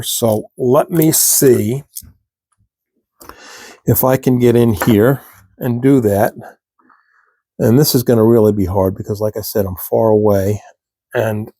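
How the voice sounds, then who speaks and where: Slow, gravelly man with a froggy throat. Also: medium pitch, dark tone, no room sound. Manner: slow, halting, talks to viewers while working. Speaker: scale model hobbyist demonstrating. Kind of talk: casual hands-on tutorial.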